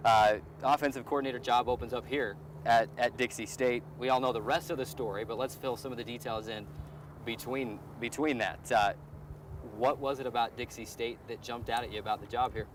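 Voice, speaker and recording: Fast-talking American sports show host, man. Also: mid-range voice, steady tone, noisy background. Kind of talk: upbeat sports show hosting and interview. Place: outdoors beside a football field.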